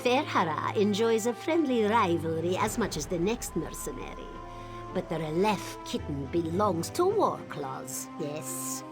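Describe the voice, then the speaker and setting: Sly, lively cat-folk mercenary. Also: female voice, on the low side, measured pace, music in the background. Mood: sly, teasing. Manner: speaks of self in third person, purring, insinuating. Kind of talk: video game character dialogue.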